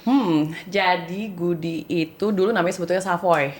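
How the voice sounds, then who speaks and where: A woman's voice: on the low side, moderate pace, a loud crowd. A woman in her thirties with a Bandung accent, a business-owner guest chatting casually, telling her story with laughs and acted-out quotes. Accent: Bandung Indonesian. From Indonesia. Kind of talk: casual podcast conversation, mixing Indonesian and English.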